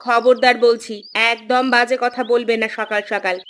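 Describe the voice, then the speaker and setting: A woman's voice: a little high, little pitch movement, normal speed, slight background noise, close to an everyday voice. A woman playing a bossy, caring village wife and mother in a cartoon, with an emphatic, nagging delivery. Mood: angry scolding. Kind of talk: cartoon dialogue acting.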